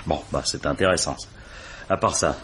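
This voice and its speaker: An actor playing a brusque, authoritative French police boss in a 1950s-style radio mystery, with curt colloquial phrasing. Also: male voice, rumbling low, brisk, a bit bright, a little hiss or hum. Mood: relaxed. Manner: curt, authoritative, colloquial, frequent "bon" and "hein".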